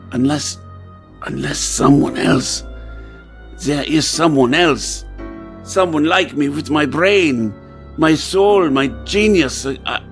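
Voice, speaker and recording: An actor playing an obsessive, grandiose composer in theatrical old-time radio style, with intense, halting delivery. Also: male voice, fairly high pitch, creaky, heavily acted. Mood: bewildered, feverish. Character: tormented, obsessive male composer, feverish and grandiose. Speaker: lead actor in radio play.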